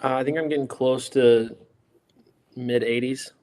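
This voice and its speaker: A young man in his twenties from North Carolina answers in a dry, understated way. His pitch is ordinary. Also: steady tone, measured pace, a little mellow. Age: 20s. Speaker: real estate agent.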